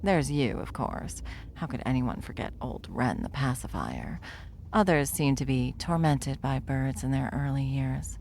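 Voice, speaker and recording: A woman playing a bored, smug, powerful villain in an ASMR roleplay, with a wry, taunting drawl and a creaky voice. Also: very deep voice, animated, unhurried, a little mellow, a little hiss or hum, heavily acted. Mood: wry, conversational.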